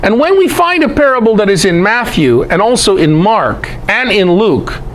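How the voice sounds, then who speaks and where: A man's voice: high voice, sing-song, moderate pace, fairly bright tone, a little hiss or hum. A man giving an informal, irreverent scripture lecture in an emphatic way, often putting rhetorical questions to his listeners. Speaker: Bible teacher, presenter.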